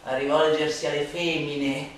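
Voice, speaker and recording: A woman's voice: low voice, little pitch movement, normal speed, close to an everyday voice. A woman performing a theatrical satirical monologue as a scornful, vehement orator, declaiming in the manner of a harangue. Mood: scornful, accusing. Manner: declamatory, swinging from hushed sneering to shouted outbursts. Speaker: stage and radio actor. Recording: echoey hall, distant mic, faint noise.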